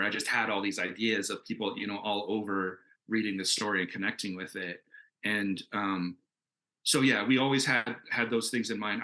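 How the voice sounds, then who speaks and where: A man with a fairly low but clearly bright, forward voice talks through an answer as a creator on a panel. He is earnest and casual, wandering through his thoughts and circling back to them. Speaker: comic book creator on a Q&A panel.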